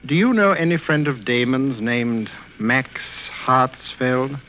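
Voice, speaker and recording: An actor in 1940s radio style playing a scheming, falsely tender husband who speaks politely, with unctuous, measured control. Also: male voice, medium pitch, animated. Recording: muffled old radio recording, faint noise.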